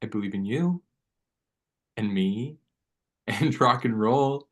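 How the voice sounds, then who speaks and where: A man's voice: fairly low pitch, slow, breathy edge. A man playing a laid-back, blunt but loyal teenage boy in a table read of a horror script. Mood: skeptical, teasing.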